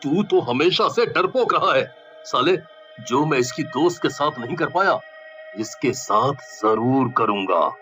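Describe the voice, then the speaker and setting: A man's voice: medium pitch, animated, unhurried, pushed and bright. A man playing a brazen, bullying young village thug in a cartoon story, with a lecherous, scoffing manner. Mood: scornful. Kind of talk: Hindi cartoon story voice acting. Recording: dull lo-fi voice over music.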